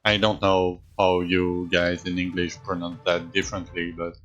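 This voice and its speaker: A man's voice: low-pitched, level tone, a bit bright, muffled recording. A French man with a French accent, a native French speaker, chatting casually with his live audience as a streamer, often stopping and restarting his sentences. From France. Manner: halting, with frequent restarts.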